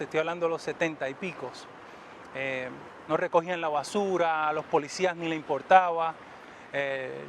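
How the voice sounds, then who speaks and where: A man's voice: on the high side, slight background noise. A man in his fifties from New York telling a personal story in a reflective, conversational way, often checking in with a question. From United States (New York). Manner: reflective storytelling, tag questions, grows emphatic. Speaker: interviewee. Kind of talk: personal testimony in an interview.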